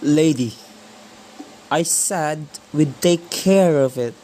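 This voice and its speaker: A woman acting as a curt police desk officer in a radio drama. The voice is lowish and mellow, with very slow, dismissive delivery. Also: a little hiss or hum.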